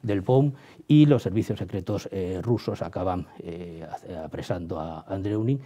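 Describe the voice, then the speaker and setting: A man from explaining history as an interviewed professor, halting as he searches for words and checks with tag questions. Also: low voice, warm tone.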